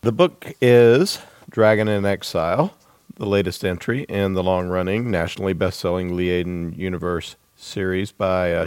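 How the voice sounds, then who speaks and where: A man's voice: sits low, smooth, no echo. A man hosting a book podcast, interviewing and announcing in a conversational presenter's style.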